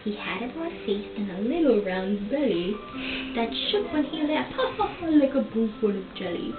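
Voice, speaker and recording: A young woman reading a storybook aloud to little children in an animated, playful way with dramatic emphasis. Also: on the high side, moderate pace. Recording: echoey dull home recording, music behind.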